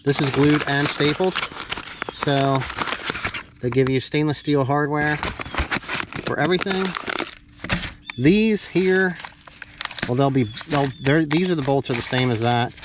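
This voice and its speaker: A man giving a casual, off-the-cuff unboxing commentary, halting and thinking aloud as he handles parts with a camera in hand. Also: a little high. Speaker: hobbyist vlogger doing a product unboxing. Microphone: handheld camera held while working, varying distance. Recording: noisy handheld camera audio, clear background noise.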